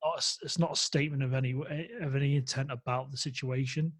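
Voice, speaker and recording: A man's voice: medium pitch, fairly even intonation, fast. A man hosting a podcast interview, chatting casually and enthusiastically, often trailing off mid-sentence. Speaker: podcast host.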